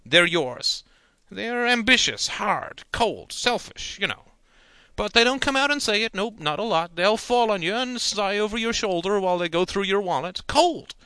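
A rambling, folksy old small-town uncle holding forth with homespun advice, his voice pitched well up and sounding like somebody else entirely, as a male narrator puts him on. He preaches in a lively, bright tone, swinging up and down as he warns his nephew about the world. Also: moderate pace, clear, resonant tone, dry recording, exaggerated voice. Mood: lecturing. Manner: preachy, rambling, down-home lecturing.

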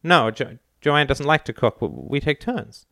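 A man's voice: medium pitch, big pitch swings, gravelly, a bit bright, dry recording, clean studio sound, voice pushed up, exaggerated voice. A man reading aloud and voicing a timid grown son who stammers and hesitates when defending himself. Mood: defensive stammer. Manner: halting, stammering.

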